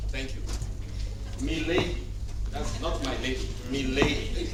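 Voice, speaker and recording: A man's voice: medium pitch, a little slow, heavy background noise. A man giving a conference talk in a hotel hall, telling jokey anecdotes and acting out the people in them. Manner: jokey storytelling, acting out quoted voices. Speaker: conference speaker. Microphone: handheld mic, later set down. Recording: echoey hall, speaker far from mic.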